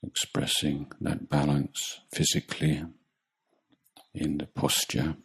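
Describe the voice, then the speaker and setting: Really low husky guy guiding meditation, calm, super slow with long gaps. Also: low-quality recording. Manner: calm, measured, long pauses between short phrases. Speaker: Buddhist monk meditation teacher. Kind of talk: guided meditation.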